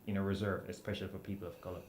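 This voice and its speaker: A man with a lowish voice talks on a writers' panel in a reverberant hall. He tells things as stories, full of "you know" and lively acted-out quotes, over a faint room hum. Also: rapid-fire. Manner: animated storyteller, acts out quotes. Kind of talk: panel discussion. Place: event hall with audience. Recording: echoey room.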